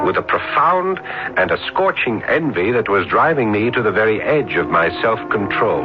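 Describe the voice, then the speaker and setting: An actor playing a brooding, tormented young scholar with florid, theatrical intensity in a radio drama. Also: male voice, on the low side. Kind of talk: 1970s radio drama acting. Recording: muffled vintage radio broadcast recording.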